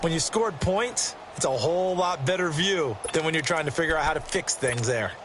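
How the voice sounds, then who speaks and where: An actor working as an American color commentator in a football video game, explaining tactics in an emphatic, conversational way. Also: male voice, fairly high pitch, expressive, normal speed, natural voice. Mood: emphatic, analytical. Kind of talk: analytical sports color commentary. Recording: game audio with loud crowd noise. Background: loud stadium crowd and game sounds.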